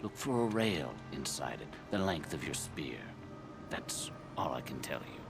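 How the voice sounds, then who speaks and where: Game shaman, very deep, creaky, cryptic, measured, reverent, loud music behind. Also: very animated, heavily acted. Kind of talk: video game dialogue acting.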